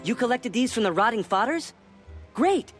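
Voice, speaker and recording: Very high-pitched, eager young male wizard. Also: moderate pace, soft background music, exaggerated voice. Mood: curious. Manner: animated, bookish, expressive. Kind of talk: video game character acting.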